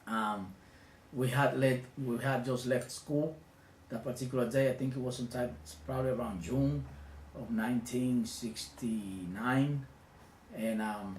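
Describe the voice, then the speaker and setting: A man in his fifties from the Dominican Republic with a Dominican accent, recounting his childhood in a reflective, hesitant way with frequent pauses. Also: mid-range voice, steady tone. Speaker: interviewee. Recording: echoey room with faint ambient noise.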